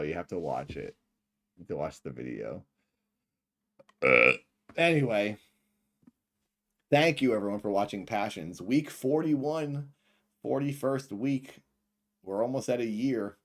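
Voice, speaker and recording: A man's voice: lowish voice, big pitch swings, unhurried, pauses often. A middle-aged man with a lisp, rambling through a livestream reaction with laughing asides. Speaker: livestream host.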